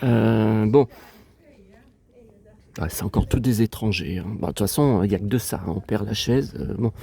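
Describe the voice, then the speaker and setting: A man's voice: fairly low pitch, moderate pace, creaky, mellow, slightly rough. A man with a stuffy, sniffly nose from a cold, rambling in a digressive, aggrieved way as he vlogs outdoors. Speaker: vlogger. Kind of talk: casual rambling vlog chat. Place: outdoors in a cemetery.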